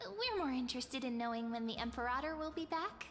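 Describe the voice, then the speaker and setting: A woman's voice: high voice, expressive, fairly quick, fairly bright tone, slight background noise. A woman voicing a polite, earnest young female traveler in a video game. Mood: polite, puzzled.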